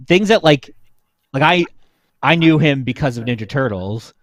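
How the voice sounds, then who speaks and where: A man in his thirties speaking as a panelist in a casual chat, recounting things in an informal, halting, conversational way. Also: medium pitch, expressive, no room sound.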